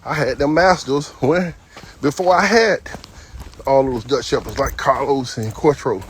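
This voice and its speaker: A man's voice: medium pitch, measured pace, slight background noise. A man in his fifties, a dog trainer, talking casually and bluntly while walking his dog on an outdoor trail. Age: 50s. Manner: talkative, blunt, folksy storytelling.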